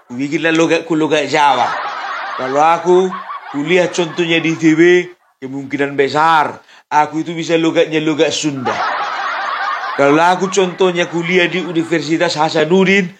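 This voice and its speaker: A man from Sumatra, hosting a casual political commentary monologue, laughing often as he mocks and speaks straight to his viewers. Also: on the high side. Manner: mocking, laughing often, addresses viewers directly. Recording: noisy background.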